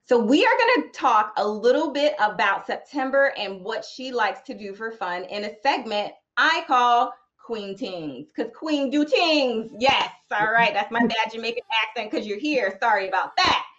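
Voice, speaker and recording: A woman's voice: a little high, animated, moderate pace, hardly any pauses, a bit bright, lo-fi sound. A woman hosting an interview show, upbeat and playful as she asks questions. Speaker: host.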